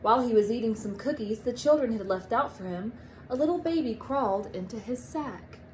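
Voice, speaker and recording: High-voiced woman reading a storybook aloud. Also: animated, a little fast, darkish tone, a little hiss or hum, low-quality recording. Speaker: teacher reading a story to children. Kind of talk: expressive read-aloud storytelling.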